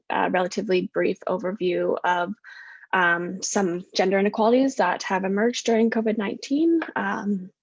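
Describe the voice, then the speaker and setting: A woman's voice: mid-range voice, gravelly, husky, no echo. A woman in her thirties with a Canadian accent gives a friendly online presentation, with many fillers and self-corrections. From west coast of Canada. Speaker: guest speaker, postdoctoral researcher. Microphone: video call. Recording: dull lo-fi video call audio.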